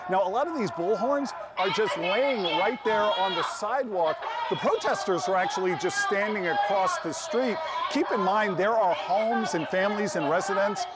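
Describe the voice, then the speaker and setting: Male American field reporter, slightly high voice, speaking outdoors against heavy noise. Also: moderate pace, few pauses, a loud crowd. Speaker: TV news reporter. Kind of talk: on-location reporting. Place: outdoors on a residential street. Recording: loud outdoor street noise. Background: loud bullhorn and street noise.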